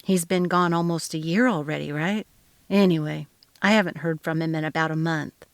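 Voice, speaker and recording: A woman's voice: low-pitched, normal speed, dry recording. A woman voicing an earnest, responsible young adult son in a dramatized story, sober and restrained in manner. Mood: matter-of-fact.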